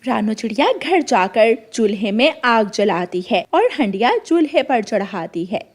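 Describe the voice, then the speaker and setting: A woman's voice: a little high, expressive, measured pace. A woman narrating a scripted cartoon story.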